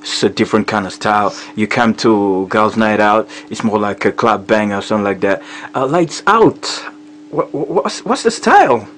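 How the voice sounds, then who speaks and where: A man's voice: fairly low pitch, animated, normal speed, a bit bright, slight background noise. A man interviewing a singer, asking questions hesitantly with many fillers and restarts.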